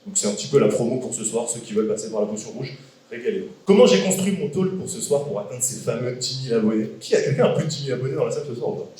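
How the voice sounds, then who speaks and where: A man's voice: medium pitch, animated, racing, mellow. A young man in his late twenties talking to a crowd in a big hall, casual and joking, tossing questions to the room. His voice sits mid-range and comes from well away from the mic. The room rings with heavy echo. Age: 20s. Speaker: guest speaker. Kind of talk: live talk to an audience. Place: large event hall with an audience. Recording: echoey hall from far off.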